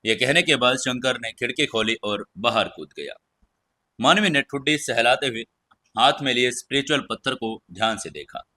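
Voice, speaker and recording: A man's voice: medium pitch, animated, moderate pace, bright, forceful tone. A man hosting and narrating a scripted audio story. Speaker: storyteller and channel host.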